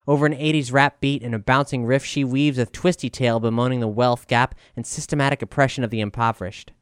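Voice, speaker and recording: A man's voice: mid-range voice, smooth, no echo, clean studio sound. A man reading a scripted album review as narration.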